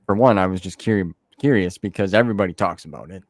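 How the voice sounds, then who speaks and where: A man's voice: low-pitched, fast, no echo. A man hosting an interview as a casual fan chat, talking informally and repeating phrases for emphasis. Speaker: podcast host interviewing a guest. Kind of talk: casual fan conversation about novels.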